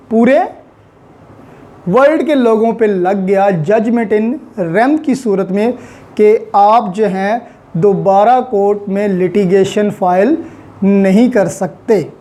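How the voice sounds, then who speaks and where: A woman's voice: medium pitch, warm tone. A woman with a Pakistani accent giving an explanatory law lecture, didactic and repeating key points, switching between two languages.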